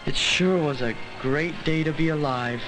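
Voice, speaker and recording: A man's voice: a little high, measured pace, natural voice. A young male actor playing an earnest, regretful teenage boy narrating an old educational film. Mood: nostalgic, proud. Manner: earnest, wistful. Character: earnest, regretful teenage boy recounting his last day. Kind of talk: dramatic first-person educational film narration. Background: loud music.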